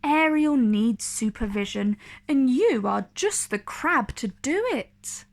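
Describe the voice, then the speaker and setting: A woman's voice: fairly high pitch, animated, slow. A woman voicing a stern merman king and father in a children's storybook, firm and commanding.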